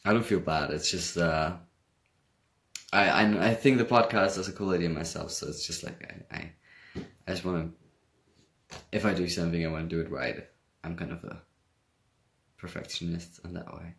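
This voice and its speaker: A young man with a German accent talks casually on a phone livestream from home, sounding tired. His voice sits very low with a gravelly, creaky texture. He rambles, corrects himself mid-sentence and laughs often. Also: steady tone, moderate pace, long pauses, fairly bright tone. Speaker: livestream host. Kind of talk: casual livestream chat reading comments.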